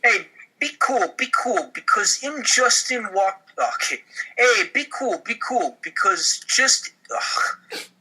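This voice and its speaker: A man plays an eager, awkward pizzeria son in a comedy script. The voice is very bright and forceful, swinging widely in pitch, lovestruck and clumsy in its delivery. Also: lively intonation, slow, slightly rough, full character voice, rough recording. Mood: lovestruck, flustered. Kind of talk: comedy table read.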